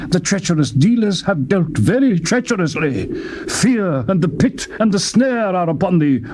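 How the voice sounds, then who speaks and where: A man's voice: on the high side, noisy background. Solemn man declaiming scripture on tape. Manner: solemn, declamatory, rising to fervent peaks. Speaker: Bible reader. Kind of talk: dramatized scripture reading.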